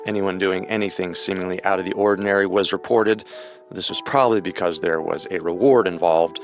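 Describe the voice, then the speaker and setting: A man's voice: low-pitched, fairly even intonation, a little fast, faint music behind. A man co-hosting a true crime show, reading scripted narration in a dramatic storytelling manner.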